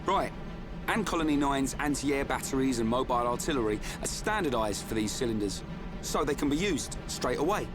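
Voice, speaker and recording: A man's voice: medium pitch, expressive, fairly quick, close to an everyday voice. An actor voicing a bluff, confident young male soldier in a video game cutscene, talking bluntly and casually. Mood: matter-of-fact. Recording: music behind the voice. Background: music.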